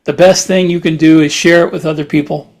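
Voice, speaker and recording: A man's voice: fairly high pitch, fairly even intonation, fairly quick, vocal fry, darkish tone. A man co-hosting a podcast in a casual, chatty style. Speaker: podcast co-host.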